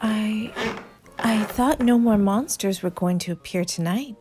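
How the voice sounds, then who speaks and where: A woman's voice: mid-range voice, a little slow, noisy background, natural voice. A woman voicing a picky, giggly child in a children's storybook, speaking in a questioning, insistent way. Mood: surprised, fond. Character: picky, giggly child daring monsters to scare them.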